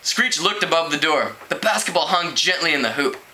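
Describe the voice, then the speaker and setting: Bright, forceful man reading aloud with lively pitch. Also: brisk. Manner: expressive, acts out dialogue with pitch jumps. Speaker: amateur book reader. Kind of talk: reading a teen novel aloud. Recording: clearly noisy home recording.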